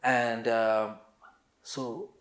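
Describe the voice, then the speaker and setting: A man's voice: mid-range voice, fairly even intonation, very slow. A Kenyan man telling anecdotes casually as an interview guest, acting out bits of dialogue as he goes. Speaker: interview guest, musician recalling career. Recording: roomy, echoing sound.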